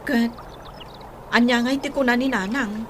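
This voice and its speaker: A woman's voice: a little high, normal speed, slight background noise, natural voice. A woman playing an assertive young daughter-in-law who defends her own ways in an Ilocano radio drama. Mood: annoyed.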